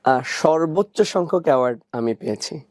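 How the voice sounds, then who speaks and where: A Bangladeshi man speaks as an author and coach being interviewed. His voice is a touch higher than average with a mellow tone. It is captured with very little room sound. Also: expressive, fairly quick, no room sound, studio quality. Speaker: author and English coach as guest. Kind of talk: interview answers.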